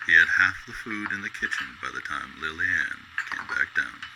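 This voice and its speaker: A man narrating a scripted radio drama in a deliberate, descriptive storytelling style. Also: low-pitched, lively intonation, heavy background noise.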